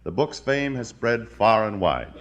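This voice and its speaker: A man's voice: fairly low pitch, sing-song, unhurried. An American man narrating from a script as a 1950s radio announcer, in a grand, polished manner. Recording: vintage 1950 radio broadcast recording.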